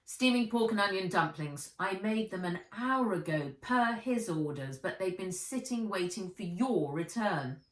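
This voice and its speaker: A woman's voice: medium pitch, expressive, a little slow, few pauses, close to an everyday voice. A bossy, grumbling female cook from a children's story, played by a woman reading aloud. She is heard in a roomy echo, a little away from the microphone. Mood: reproachful. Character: grumpy, bossy female cook and former chaperone. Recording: roomy echo, distant from the mic.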